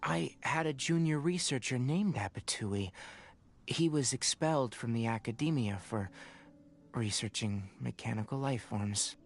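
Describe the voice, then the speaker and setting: A woman playing a reserved young academic in a video game, speaking in a measured, matter-of-fact way. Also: rumbling low, exaggerated voice. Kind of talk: video game dialogue acting.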